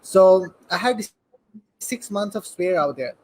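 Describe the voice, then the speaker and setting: A man's voice: high-pitched, expressive, takes it slowly, a little mellow, rough recording. A 21-year-old man from Saudi Arabia, a guest telling his story in a casual interview. He talks eagerly and rambles, peppering his speech with "like" and "you know". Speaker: guest entrepreneur.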